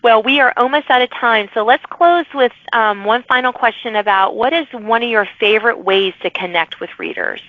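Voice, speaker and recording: A woman's voice: fairly high pitch, very animated, normal speed, few pauses, no echo. Chatty woman host on a dull lo-fi line. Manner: chatty, laughs often, uses many fillers. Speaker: podcast host. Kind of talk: casual interview chat. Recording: dull lo-fi remote recording, dry.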